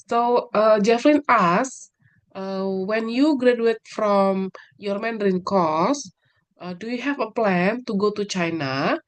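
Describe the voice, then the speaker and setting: Woman teacher on a video call. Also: medium pitch. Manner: encouraging, mixes in Indonesian fillers. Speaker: English teacher. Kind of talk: online class moderation.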